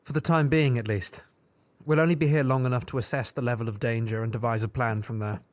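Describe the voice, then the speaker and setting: A man's voice: medium pitch, steady tone, quick pace, lightly acted. A man playing a brilliant, proud detective in an audio drama, formal, precise and authoritative. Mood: assured. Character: brilliant, proud male detective, urgent and clipped.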